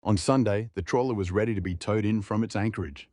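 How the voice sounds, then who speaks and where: Man, fairly low voice, scripted narration, report style. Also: quick pace, dark tone.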